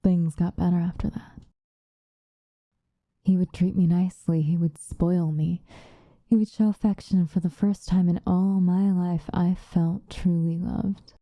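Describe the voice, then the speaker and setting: Woman playing a dark, hushed, seductive vampire. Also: on the low side, fairly even intonation, no room sound. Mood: tender, nostalgic. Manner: soft, breathy, drifting into whispers, unhurried. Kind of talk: ASMR roleplay, intimate confessional monologue. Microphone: close microphone, dry.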